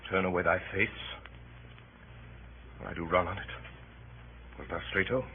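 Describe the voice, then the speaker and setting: A deep, solemn Roman general. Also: male voice, fairly even intonation. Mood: resolute, weary. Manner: solemn, measured, declamatory verse delivery. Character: noble, weary defeated Roman general resolved to die. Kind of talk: 1930s radio Shakespeare acting. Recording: scratchy, muffled 1930s radio broadcast.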